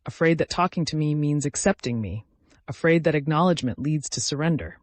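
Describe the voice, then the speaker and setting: A man voicing an ancient, cunning, hungry entity in a fantasy story, speaking in a measured, formal, insistent way. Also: fairly high pitch, expressive, dry recording, lightly acted. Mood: probing.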